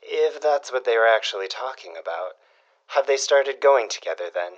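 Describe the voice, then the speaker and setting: A man's voice: medium pitch, lively intonation, moderate pace, lo-fi sound, close to an everyday voice. An actor playing a curious, folksy man with dry, old-fashioned turns of phrase, prying for gossip in a period audio drama.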